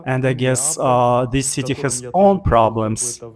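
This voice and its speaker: A middle-aged man with a non-native English accent, narrating a walking vlog in an earnest, opinionated and measured way. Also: medium pitch. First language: not English. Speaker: vlogger.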